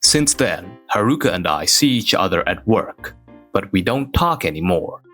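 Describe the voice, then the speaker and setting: A man's voice: deep voice, sing-song, moderate pace, fairly bright tone, soft background music. A man playing a reserved, self-doubting young office worker who narrates his own romance in a scripted manga dub. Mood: pensive, regretful.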